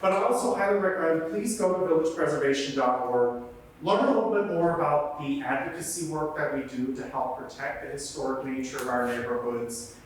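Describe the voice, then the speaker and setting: A man's voice: on the high side, brisk. A man is introducing a library event, chatty and teasing with the crowd. His voice comes from a distance through a heavy echo, with faint hum underneath. It sounds like a live recording made across a big room. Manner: chatty, joking with the audience. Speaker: programs director introducing a book talk. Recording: very echoey room, from far off.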